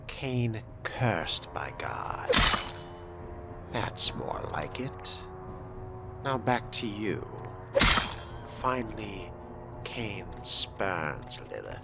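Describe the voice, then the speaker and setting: A low-voiced man roleplays through a game in formal, haughty language. His delivery is slow and drawling, yet rises and dips expressively, with music playing clearly behind him. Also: sing-song. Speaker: player voicing his own vampire character in a roleplay playthrough. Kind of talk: in-character roleplay commentary over a game.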